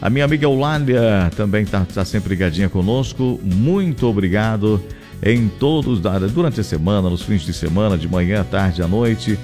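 A man's voice: on the low side, normal speed, warm tone. A man with a southern Brazilian accent hosting live radio in a chatty, effusive way, often repeating his phrases. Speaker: radio host. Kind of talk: live radio chat, shout-outs and ad reads. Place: radio studio. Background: music under the voice.

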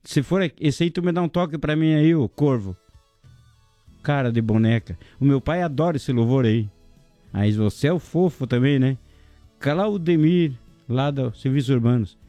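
Chatty radio host. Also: male voice, medium pitch, moderate pace, no room sound, soft background music. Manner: chatty, jokey, shouting out listeners and sponsors. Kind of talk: casual local radio chat.

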